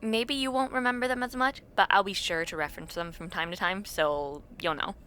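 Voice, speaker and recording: A young woman playing a friendly, sentimental university student chatting casually and intimately with a close friend in an audio roleplay, gently teasing and soft-hearted. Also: mid-range voice, steady tone, a little fast, clear, resonant tone, close to an everyday voice. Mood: easygoing, playful.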